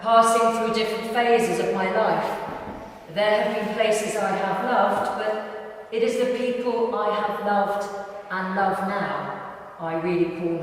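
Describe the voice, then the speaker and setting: Unhurried woman reciting, distant and echoey. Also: mid-range voice. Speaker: reader at a live literary event. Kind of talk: reading poems and short prose aloud to an audience. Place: large indoor gym hall at a live reading. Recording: echoey hall, distant mic, faint noise.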